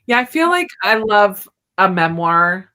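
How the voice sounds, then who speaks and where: A woman's voice: mid-range voice, big pitch swings, measured pace, sharp tone. A woman hosting a casual book discussion, talking in a chatty, informal way. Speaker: host of a book channel readalong.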